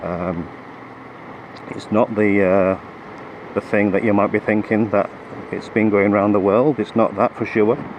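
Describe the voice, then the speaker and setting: Croaky man talking from a motorbike. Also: lowish voice, fairly even intonation, unhurried, muffled recording. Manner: hesitant, many fillers and restarts. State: croaky from a head cold. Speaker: motorcycle vlogger. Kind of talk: rambling ride commentary. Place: on a motorcycle on the road. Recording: road and engine noise behind.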